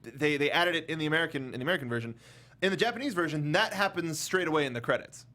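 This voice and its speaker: A man hosting a casual film discussion, talking in an animated way and acting out mock quotes as he goes. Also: a little high, rapid-fire. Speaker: talk show host.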